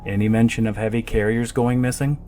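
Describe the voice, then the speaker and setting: A man's voice: mid-range voice, fairly even intonation, brisk, dark tone, soft background music, close to an everyday voice. A man playing a pessimistic, sardonic fighter pilot in a sci-fi audio drama, dry and grumbling.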